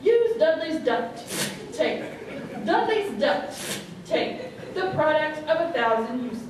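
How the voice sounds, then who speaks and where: A woman plays an earnest, straight-faced pitchwoman in a spoof radio commercial, slow and high-voiced. She is heard from a distance in a noisy, heavily echoing hall. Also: sing-song, heavily acted. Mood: earnest selling.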